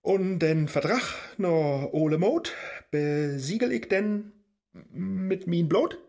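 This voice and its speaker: An actor playing a lonely, anxious middle-aged Faust in a rhyming radio play, lamenting and yearning in a Low German accent. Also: male voice, lively intonation, slow, gravelly, darkish tone, full character voice. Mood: hesitant, curious. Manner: plaintive, lamenting, rhyming speech. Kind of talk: satirical radio play in verse.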